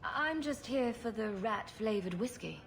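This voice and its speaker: Wry, sarcastic rogue heroine. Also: female voice, a little high, lively intonation, moderate pace. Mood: teasing. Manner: dry, teasing. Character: wry, sarcastic young woman rogue hero. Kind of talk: video game character acting.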